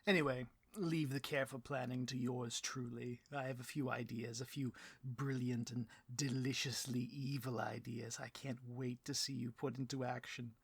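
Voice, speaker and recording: A man's voice: medium pitch, steady tone, moderate pace, vocal fry, slightly rough, dry recording. A man playing a bloviating, petty supervillain boss in a comedic audio roleplay, with a pompous, melodramatic delivery and a taste for rants. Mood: scheming, smug.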